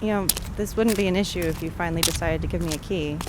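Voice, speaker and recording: A woman's voice: lowish voice, fast, background noise, natural voice. A woman playing a dry, skeptical girlfriend. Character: skeptical, teasing young woman, a colleague and partner. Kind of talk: audio drama acting.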